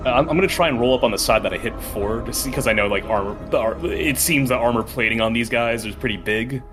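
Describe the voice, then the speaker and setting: A man's voice: medium pitch, quick pace. A man playing a tabletop game, chatting informally as he plans his turn. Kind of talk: casual game table chat. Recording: background music bed under voice. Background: music.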